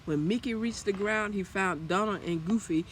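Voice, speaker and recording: A man reading a children's story aloud as storyteller, in an expressive manner. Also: high-pitched, normal speed, a little hiss or hum. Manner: expressive, with acted character lines.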